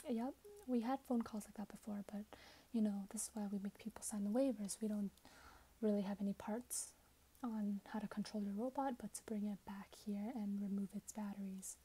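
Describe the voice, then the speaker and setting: A woman in her twenties speaking softly and breathily, often in a whisper, in a casual ASMR roleplay as a shop assistant chatting with a customer. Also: mid-range voice, fairly even intonation, a little fast, slight background noise, poor audio. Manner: casual, conversational, hesitant pacing as if chatting with a customer. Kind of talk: soft-spoken ASMR roleplay. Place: small plain room indoors.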